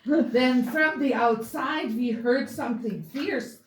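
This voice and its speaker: Woman storyteller, slow, playful, drawn-out reading, distant mic with faint noise. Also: fairly high pitch, echoey room. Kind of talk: rhyming holiday story read aloud to listeners.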